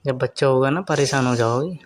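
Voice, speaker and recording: A man's voice: mid-range voice, brisk, a little hiss or hum. Young man teasing on a private call.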